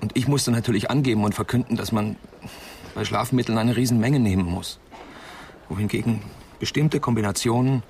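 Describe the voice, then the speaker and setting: Ein Schauspieler spielt in einem deutschen Krimihörspiel einen bedrückten jungen Medizinstudenten, der stockend und gedämpft berichtet. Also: male voice, lowish voice, vocal fry, darkish tone, a little hiss or hum. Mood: subdued, guilty. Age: young adult. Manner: halting, subdued, confessional. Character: troubled young male medical student, devoted to his flatmate. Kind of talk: German radio crime drama acting.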